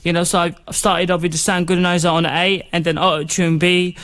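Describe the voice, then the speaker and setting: A man's voice: sits high, little pitch movement, fairly quick, a bit bright, smooth. A man hosting a music production tutorial in an informal, rambling, chatty way, explaining things step by step. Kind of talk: casual step-by-step software tutorial.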